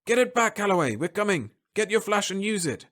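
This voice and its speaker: A man voicing a gruff, cursing spaceship captain who barks orders in a raised, higher voice. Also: big pitch swings, fairly quick, a bit bright. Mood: barking orders. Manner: barking, swearing orders. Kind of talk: dramatic story reading.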